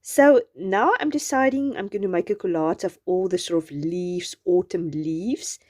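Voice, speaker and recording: A South African woman whose first language is Afrikaans, chatting gently through a journaling craft voice-over. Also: on the low side, unhurried. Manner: gentle, chatty, meandering with restarts. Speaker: journaling channel host.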